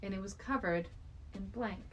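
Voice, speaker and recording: A woman's voice: medium pitch, moderate pace, very noisy. A woman co-hosting a podcast in casual chat, with teasing, dry banter. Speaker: podcast co-host.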